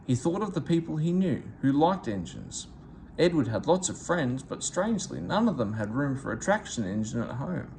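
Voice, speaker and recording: A man narrating a children's storybook aloud, in a gentle, expressive way. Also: medium pitch, normal speed, a little hiss or hum.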